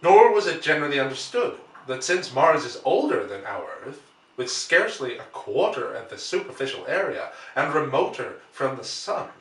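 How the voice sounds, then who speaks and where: Male storyteller, theatrical and very varied in pitch, in a roomy, echoing space. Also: a bit bright. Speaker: storyteller and host. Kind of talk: dramatic audiobook reading and chatty introduction. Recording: roomy echo, distant from microphone.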